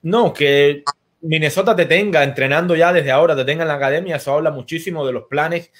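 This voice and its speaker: A man's voice: on the high side, brisk, fairly bright tone. A man in his thirties with a Cuban accent, hosting a casual baseball talk show and greeting viewers. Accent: Cuban Spanish. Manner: chatty, sends greetings to viewers. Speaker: host.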